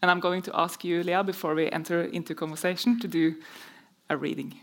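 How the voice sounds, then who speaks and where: A middle-aged woman with a Norwegian accent introducing a guest on stage as interviewer, in halting, measured phrases. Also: on the low side, fairly even intonation.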